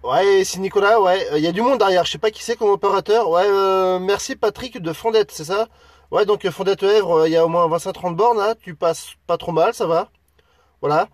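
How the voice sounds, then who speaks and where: High-voiced Frenchman chatting, dry sound. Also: fairly quick, no echo. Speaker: amateur CB radio operator. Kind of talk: casual, friendly radio chat. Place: outdoors on high ground at the radio operator's own end.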